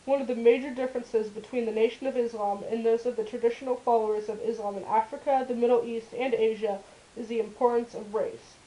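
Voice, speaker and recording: A woman's voice: fairly high pitch, fairly even intonation, fast, dark tone, close to an everyday voice, poor audio. A woman playing a firm, defiant male activist in a puppet show, narrating his life in the first person. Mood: earnest, firm.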